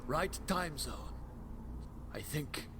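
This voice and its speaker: A man playing a wry, excitable, pedantic time-travelling alien adventurer in a fan audio drama. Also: a little high, takes it slowly, very noisy. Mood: wry, defensive.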